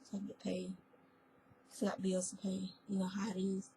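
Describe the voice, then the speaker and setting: A woman whose voice is hoarse from a spreading cold, chatting casually in a crafting vlog and often rambling and correcting herself. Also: medium pitch, monotone, normal speed, dark tone, slight background noise, rough recording. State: hoarse, losing her voice. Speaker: fiber arts vlogger. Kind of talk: casual chat.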